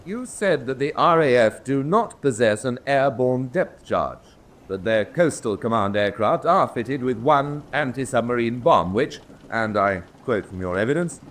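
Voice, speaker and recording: Casting: male actor as probing military examiner, mid-pitched, formal and deliberate, radio drama. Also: a little hiss or hum, close to an everyday voice. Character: precise, probing male officer questioning a witness. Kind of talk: radio drama courtroom acting.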